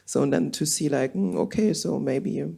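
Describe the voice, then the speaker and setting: A woman's voice: low voice, moderate pace, vocal fry, mellow, breathy edge. A woman from Germany speaking on a symposium panel, hesitant and searching for words, with many fillers. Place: auditorium.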